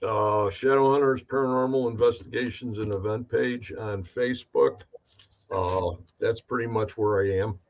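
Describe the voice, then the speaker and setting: A man's voice: on the low side, fairly even intonation, normal speed. A man guesting on a chat show, speaking hesitantly and restarting his sentences. His voice comes through a dull, lo-fi remote line. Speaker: guest, paranormal investigator. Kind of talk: casual chat.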